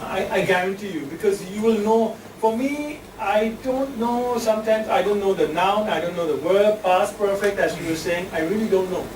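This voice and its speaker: A woman from India, speaking informally as a club's general evaluator, chatty, self-deprecating and quick to laugh. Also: medium pitch, darkish tone. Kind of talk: informal meeting remarks. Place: club meeting room. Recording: echoey room, distant mic, air conditioning hum.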